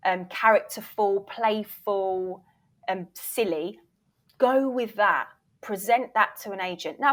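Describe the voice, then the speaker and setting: A woman gives advice as an interview guest, speaking in a conversational, informal way. She stresses her points and readily voices imagined remarks from other people. Her pitch sits in an average range for a woman. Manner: emphatic, informal, mildly sweary, acts out imagined quotes. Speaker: guest, voiceover reel producer and former agent.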